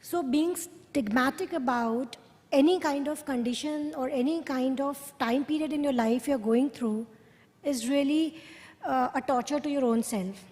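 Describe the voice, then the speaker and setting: A woman neuroscientist speaks earnestly on a conference panel. Her voice is notably high, and she explains her points at length. A long reverb from a large hall trails her words. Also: darkish tone.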